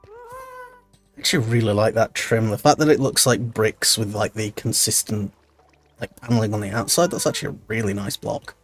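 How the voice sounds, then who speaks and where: Streamer, male, tired and snuffly, lively up-and-down delivery, rambling self-talk over faint background music. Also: on the low side, moderate pace. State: tired and stuffy-nosed. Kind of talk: casual gameplay commentary.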